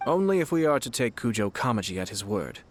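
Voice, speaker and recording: A man playing a composed, shrewd young noble commissioner in a video game, speaking in a formal, polite, measured way. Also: mid-range voice, very animated, heavy background noise, lightly acted. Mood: calm, thoughtful.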